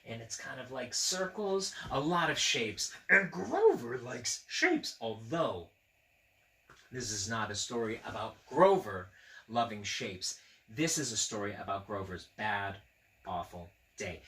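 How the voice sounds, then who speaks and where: A man hosting a children's story time, reading aloud with gentle, rambling asides and questions to his young listeners. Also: mid-range voice, distant mic. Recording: roomy echo, clear background noise.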